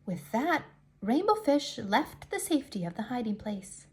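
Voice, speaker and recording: A woman reading a picture book aloud to young children in an expressive way, with deliberate dramatic emphasis. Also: on the high side.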